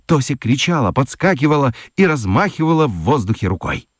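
A man narrating a children's radio play as an expressive, dramatic storyteller. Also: medium pitch, fairly quick, dry recording, studio quality.

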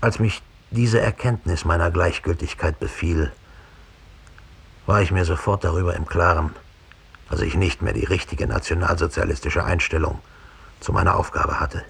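An actor playing a proud, cold former Nazi killer in a 1960s German radio drama, confessing with deliberate disdain in a gravelly, husky voice. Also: male voice, low voice, a bit bright, full character voice. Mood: cold, detached.

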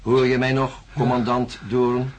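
An actor playing a measured, coaxing doctor in a radio drama, speaking in a deliberate, controlled way. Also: male voice, mid-range voice, monotone, a little hiss or hum, natural voice. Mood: slow, coaxing. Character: measured, coaxing male doctor conducting hypnosis. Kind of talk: radio drama narration and dialogue.

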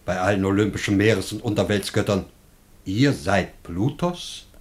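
Casting: self-righteous poor master, slightly low husky voice, very animated declamation, radio drama. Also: male voice, moderate pace. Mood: amazed. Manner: declamatory, pompous, excitable. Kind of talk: classic German radio play acting.

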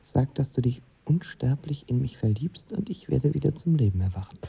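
Husky lovestruck young man. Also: medium pitch, lively intonation, moderate pace, dull audio, full character voice. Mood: playful, desperate. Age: young adult. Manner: earnest, confessional. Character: lovestruck, insecure, intense young man of twenty. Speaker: lead actor and narrator. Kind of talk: radio drama, intimate narration and dialogue.

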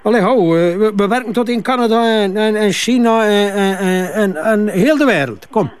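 An actor playing a defensive older Flemish father and company boss in a crime drama, halting, stammering and repeating himself. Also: high voice, natural voice. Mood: flustered, insistent. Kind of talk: naturalistic TV crime drama dialogue.

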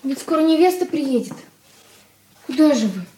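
Radio drama: eager young daughter, high-voiced, earnest and quick, echoey room. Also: female voice, lively intonation, warm tone, slight background noise. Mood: anxious. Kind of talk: radio play acting. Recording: roomy, echoing radio studio recording.

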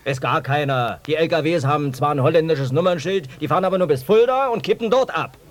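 An actor playing a loud, hot-headed village brigade leader in a German radio drama, accusatory and emphatic. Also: male voice, a little high, expressive, fast talker, close to an everyday voice. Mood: indignant.

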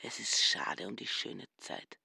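An actress playing a stingy, prim middle-aged housekeeper in a radio play, husky, indignant and sanctimonious. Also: female voice, rumbling low, lively intonation, a little slow, bright, forceful tone, no room sound, clean studio sound, full character voice. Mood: muttering. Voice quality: husky, mutters to herself.

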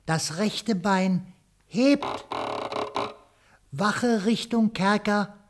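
A woman playing a pompous general in a radio play, giving drawn-out military drill commands. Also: mid-range voice, very noisy. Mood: commanding.

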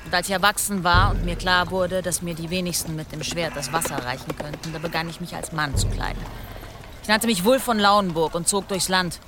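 A woman's voice: on the low side, fairly even intonation, brisk, over music, lightly acted. A woman in a German radio play, playing a proud, mocking, blunt medieval warrior woman. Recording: noisy outdoor ambience, birdsong.

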